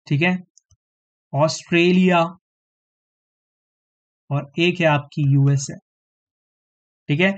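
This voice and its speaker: A man teaching a live online exam-prep quiz, slightly hoarse, clearing his throat now and then and tagging his sentences with a question of agreement to his students. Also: high voice, dull audio. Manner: repeats "theek hai" as a tag, addresses students directly.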